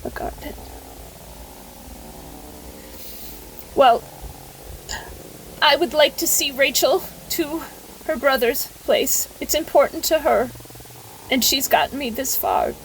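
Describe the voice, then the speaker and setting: A woman acts out an anxious widow in an audio drama. Her voice is high and creaky, and she halts and repeats words as she picks formal, old-fashioned phrases. A faint noise sits behind her. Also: a little slow, pauses often, low-quality recording. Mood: uneasy, searching. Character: anxious, devout widow, overwhelmed, speaking to her dead husband's likeness.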